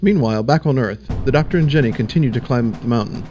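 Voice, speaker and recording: A man's voice: medium pitch, fast, warm tone, muffled recording, soft background music. A man reading the scripted narration of an audio drama in a plain, matter-of-fact way.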